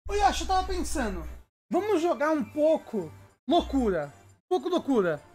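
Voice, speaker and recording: A man's voice: very high-pitched, very animated, darkish tone, soft background music. A man hosting a casual gaming livestream, bantering playfully with his co-host and chat. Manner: playful, bantering, quick to laugh. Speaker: streamer host.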